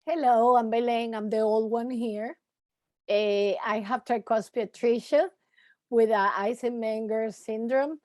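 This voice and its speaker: A woman's voice: fairly high pitch, steady tone, unhurried, a little mellow, no echo, studio quality. A woman from Venezuela casually introducing herself as a panelist. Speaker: patient panelist.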